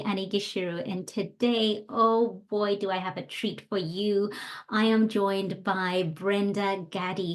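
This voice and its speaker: Woman presenting a podcast. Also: medium pitch. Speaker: podcast host and coach.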